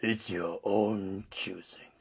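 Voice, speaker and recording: A dour, droning old man in a gothic audiobook, acted by a male reader. He speaks very slowly in a low, breathy near-whisper, insistent and foreboding. The recording is slightly dull. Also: steady tone, exaggerated voice. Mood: ominous, grudging. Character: old man with a withered arm, dour, ominous, grudging.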